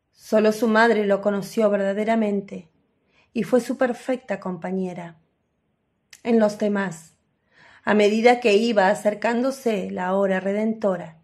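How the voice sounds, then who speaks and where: A woman narrator reading a scripted devotional commentary in a solemn, deliberate manner, with pauses. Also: on the high side, darkish tone.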